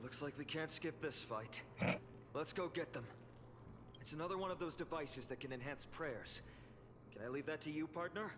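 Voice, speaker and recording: A man's voice: fairly high pitch, moderate pace, background noise, natural voice. A man playing a confident, easygoing young hero in a video game, with an assured, companionable delivery. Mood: determined. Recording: under loud game music.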